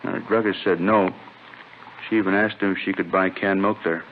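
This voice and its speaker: An actor playing a casual, laconic police detective in a 1950s radio crime drama. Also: male voice, low voice, fairly even intonation, a little fast. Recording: dull, noisy old radio recording.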